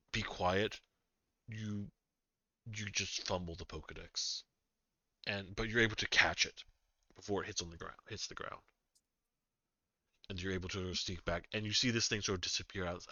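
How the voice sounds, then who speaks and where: A man narrating a tabletop game as game master, halting with many ums and restarts. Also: lowish voice, bright, forceful tone, dry recording. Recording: dull lo-fi mic.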